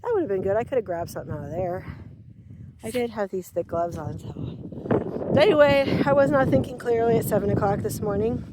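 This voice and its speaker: A woman's voice: mid-range voice, a little fast. A woman chatting casually to her camera outdoors on a farm, rambling and self-deprecating as she tells a story. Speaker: farm vlogger. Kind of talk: casual outdoor vlog chat. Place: outdoors on a farm by a frozen pond. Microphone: handheld camera. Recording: loud outdoor noise on camera mic.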